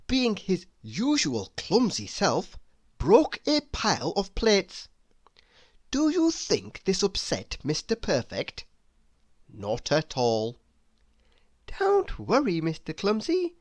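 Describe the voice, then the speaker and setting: A woman narrating a children's storybook read-aloud in an expressive, deliberate storytelling manner. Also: low voice, husky, no echo.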